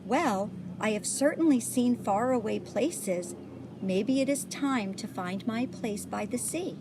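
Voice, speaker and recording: A gentle, thoughtful older lady from a children's storybook, acted out by a woman reader. She speaks slowly and earnestly in a lightly high, very expressive voice, with a wistful air. The recording has clear background noise.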